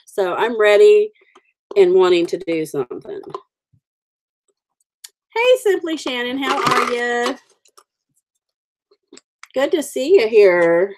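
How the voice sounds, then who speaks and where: A woman's voice: fairly high pitch, sing-song, takes it slowly, long pauses, darkish tone, slight background noise. A woman with the sniffles, chatting casually with viewers while coloring stamped cards on a livestream, greeting people by name. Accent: Oklahoma. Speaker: livestream host.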